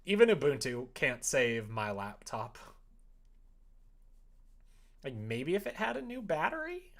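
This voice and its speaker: Casual livestream host, mid-pitched and very animated, informal, conversational delivery. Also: unhurried. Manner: informal, animated, quoting imagined exchanges. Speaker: streamer chatting while making a visual novel.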